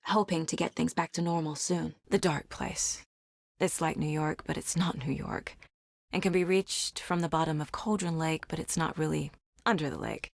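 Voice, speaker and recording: A driven, analytical female investigator in a dramatic video game. Her voice is low and husky, with terse, measured phrasing, as if reasoning through a case. The recording is very dry, with no room around the voice. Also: dry recording. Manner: measured, terse, pensive deduction. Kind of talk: dramatic game dialogue, introspective investigation lines.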